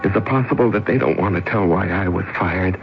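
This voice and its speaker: A man's voice: deep voice, fairly quick, gravelly. An actor playing an anxious middle-aged family man who stammers and pleads insistently, in the style of 1970s radio drama. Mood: troubled, confiding. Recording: muffled, noisy old radio broadcast.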